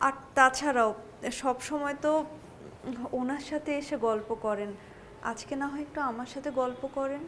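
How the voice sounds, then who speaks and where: An actress with a Bangladeshi accent playing a meek, courteous young wife in a TV drama, speaking politely and hesitantly. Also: female voice, high-pitched, normal speed, pauses often, slight background noise. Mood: polite, coaxing. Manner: polite, hesitant, soft pleading. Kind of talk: natural TV drama acting.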